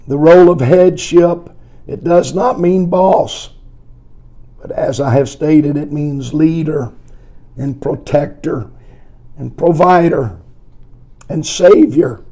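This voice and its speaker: A male pastor speaks very slowly, with a soft, dark tone and a scratchy, hoarse voice. His pitch sits a little high for a man. He teaches deliberately, repeating key phrases for emphasis. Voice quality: scratchy, weak voice. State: hoarse, strained from preaching. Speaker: pastor hosting a podcast. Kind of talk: slow teaching talk on family life.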